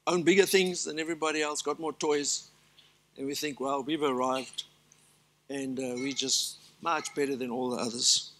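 Bright-voiced older pastor preaching. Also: male voice, mid-range voice, gravelly. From South Africa. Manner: earnest preaching with emphatic lists and asides. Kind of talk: sermon. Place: large church stage.

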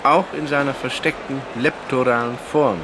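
A man's voice: medium pitch, steady tone, normal speed, noisy background, natural voice. An actor playing an officious, matter-of-fact male throat doctor in a radio comedy interview, pompous with jargon and blunt in asides.

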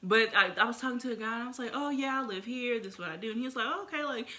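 An American woman in her twenties in relaxed, casual conversation as a vodcast co-host. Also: fairly high pitch, very fast. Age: 20s. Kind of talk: casual chat. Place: living room couch.

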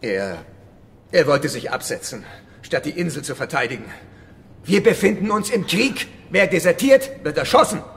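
An actor playing a ruthless, scheming island leader in a radio drama, menacing and mocking. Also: male voice, a little high, animated, normal speed, gravelly, fairly bright tone, a little hiss or hum. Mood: cold menace. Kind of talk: German radio drama acting.

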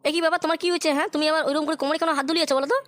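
Man putting on a very high-pitched, whiny loafer son. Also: fairly even intonation, rapid-fire, a bit bright, dry recording. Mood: concerned, wheedling. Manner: whining, foul-mouthed, grumbling. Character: idle, wheedling grown son, village loafer. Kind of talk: crude adult comedy cartoon dubbing.

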